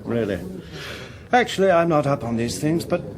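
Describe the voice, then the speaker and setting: A man's voice: fairly high pitch, lively intonation, normal speed, noisy background, natural voice. An actor playing a brash, mercenary man, slyly teasing, in a radio drama.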